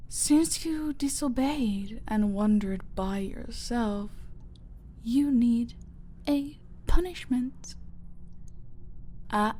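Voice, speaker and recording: A woman voicing a dominant, playfully sadistic mafia boss in an audio roleplay, taunting with mock sweetness and slipping into breathy whispers. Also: a little high, darkish tone, slight background noise. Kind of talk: roleplay audio drama, slow intimate villain monologue.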